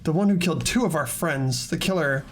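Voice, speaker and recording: Higher-pitched man narrating a game. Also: a little fast. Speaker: narrator and player in a voiced game playthrough. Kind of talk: dramatic game narration with casual asides.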